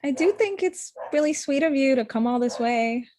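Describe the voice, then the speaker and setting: A woman's voice: high voice, expressive, moderate pace, darkish tone, no room sound. A young woman acting a blunt, hurt, confrontational ex-girlfriend in her thirties in a comedy-drama table read. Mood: softening. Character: Amita, blunt, hurt ex-girlfriend, thirty-five, sharp-tongued lawyer.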